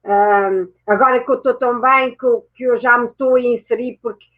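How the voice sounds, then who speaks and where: A woman's voice: a little high, fairly even intonation, brisk. A woman who grew up in France, a guest mentor telling her own story reflectively, correcting herself as she goes. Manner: reflective, speaks with many self-corrections. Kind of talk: personal storytelling in a chat. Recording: tinny phone-like audio.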